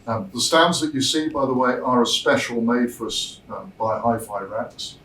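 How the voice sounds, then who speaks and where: A man with a mid-pitched voice explains a product as its designer. His delivery is measured and informal, with pauses mid-thought. He is picked up from a distance in a reverberant show room. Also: a bit bright. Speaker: loudspeaker designer presenting a product. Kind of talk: informal product presentation to visitors. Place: demo room at a hi-fi show, indoors. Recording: roomy, distant mic, noisy show room.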